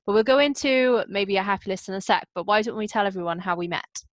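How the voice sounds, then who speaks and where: A woman hosting a podcast, chatting casually with quick asides. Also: mid-range voice, no room sound. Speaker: podcast host. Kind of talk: casual chat.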